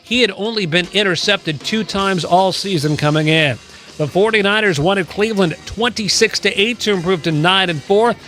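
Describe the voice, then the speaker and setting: A man's voice: high-pitched, normal speed, a bit bright, soft background music. A man hosting sports radio, reading a scripted scoreboard update with an announcer's delivery.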